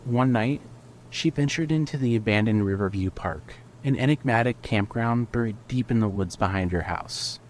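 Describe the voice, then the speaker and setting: A man's voice: medium pitch, a little mellow, slight background noise, low-quality recording. A man reading scripted story narration as the narrator of a fiction podcast.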